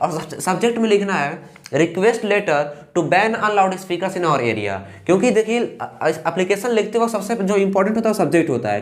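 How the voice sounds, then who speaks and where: A young man with an eastern Uttar Pradesh Hindi accent teaching a lesson, reading English lines slowly and carefully. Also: high-pitched. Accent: Hindi speaker from eastern Uttar Pradesh. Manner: slow and careful when reading English lines, fillers "ठीक" between steps. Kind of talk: explaining a lesson.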